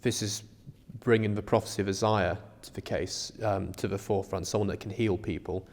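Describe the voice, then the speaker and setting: Lowish voiced guy co-hosting a podcast, thinking out loud and explaining, echoey hall sound. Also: fairly even intonation, brisk. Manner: reflective, explanatory. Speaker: podcast co-host. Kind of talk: conversational discussion. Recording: reverberant room with long echo.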